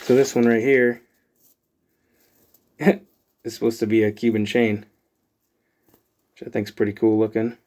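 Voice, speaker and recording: A man's voice: fairly low pitch, unhurried, pauses often. A man, chatting casually as he unboxes items for his channel, rambling with lots of fillers. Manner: rambling, filler-heavy, relaxed. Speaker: channel host.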